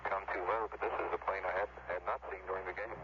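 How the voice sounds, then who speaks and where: A man giving an unscripted, halting statement as a spokesman in a news clip. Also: low-pitched, fairly even intonation. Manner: halting, hesitant. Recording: noisy, dull, humming phone-like news tape.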